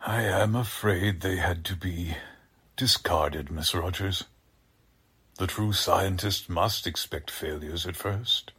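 An actor playing a deranged, coldly polite mad scientist in 1940s radio drama style, speaking in a deliberate, menacingly formal manner with a husky, creaky voice. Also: male voice, low voice, sharp tone, heavily acted. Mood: coldly matter-of-fact.

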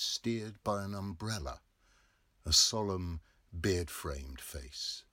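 A man in his fifties narrating a literary novel with measured, deliberate phrasing. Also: a bass voice, pushed and bright, slightly rough, dry recording. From England. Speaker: audiobook reader.

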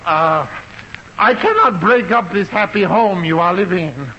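An actor playing a lovestruck, flowery suitor, in 1940s radio sitcom style. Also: male voice, high-pitched, a little slow, pushed and bright. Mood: dismayed. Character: lovestruck, flowery, melodramatic Middle Eastern suitor. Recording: noisy, dull old radio broadcast recording.

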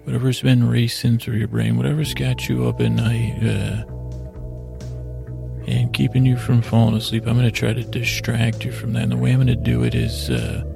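A man hosting a bedtime podcast with a gravelly, husky voice, rambling and halting in a soothing, unhurried, self-deprecating way. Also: mid-range voice, music in the background. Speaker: podcast host. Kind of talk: meandering bedtime podcast monologue.

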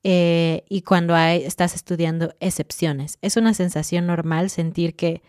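A woman hosting a podcast for Spanish learners, giving clear, encouraging advice with lively asides. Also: on the low side, a little slow, dry recording, studio quality. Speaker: Spanish teacher and podcast host.

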